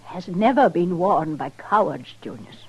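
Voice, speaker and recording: A woman's voice: fairly low pitch, animated, unhurried, a little mellow, breathy edge, heavily acted, rough recording. An older actress playing a proud, stern grandmother in a radio western, formal and dignified in manner. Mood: stern, disappointed. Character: proud, stern old grandmother of a distinguished family. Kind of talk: 1950s radio western acting.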